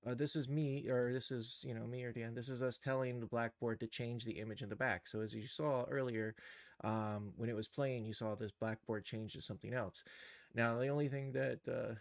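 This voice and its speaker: A man giving an unscripted software tutorial on a livestream, halting and thinking aloud as he works. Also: medium pitch, steady tone, vocal fry, no room sound, clean studio sound. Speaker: livestream host and game developer.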